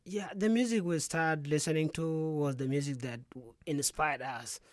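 A young man from South Sudan with a South Sudanese accent and Dinka as his first language, a musician guest talking softly in a radio studio interview. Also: a little high, normal speed, no room sound. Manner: soft-spoken, frequent "yeah" and "you know".

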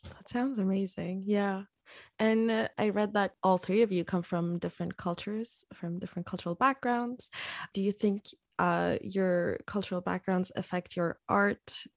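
A woman interviewer asks questions in a casual, encouraging way and backs her guests with "mm-hmm". Her voice is mid-pitched, and it is recorded dry and close. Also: fairly even intonation, normal speed, no echo. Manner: encouraging, frequent "mm-hmm" backchannels.

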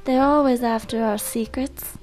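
A woman's voice: fairly high pitch, fairly even intonation, normal speed, a little mellow, faint music behind, lightly acted, poor audio. A woman playing a melodramatic female client in an improvised noir radio drama, theatrical and hesitant. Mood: conspiratorial.

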